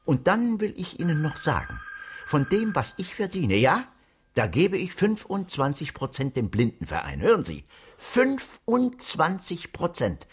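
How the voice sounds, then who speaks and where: A man's voice: a little high, huge pitch swings, measured pace, breathy edge, full character voice. An actor playing a shifty con man in his fifties in a radio crime comedy, protesting his innocence in a self-pitying whine. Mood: indignant, self-pitying. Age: 50s.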